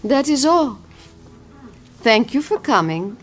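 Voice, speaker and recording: Faint music sits behind a female spiritualist in an audio drama. She is composed and deliberate, with a mystical solemnity. She probes as if she already knows the answers. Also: on the high side, sing-song.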